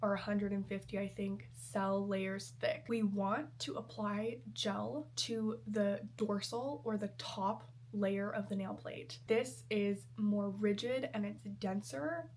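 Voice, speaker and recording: A woman's voice: mid-range voice, a little hiss or hum. A young woman, a nail technician, explaining step by step in a friendly way while sick and a little nasal. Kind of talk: explanatory tutorial talk.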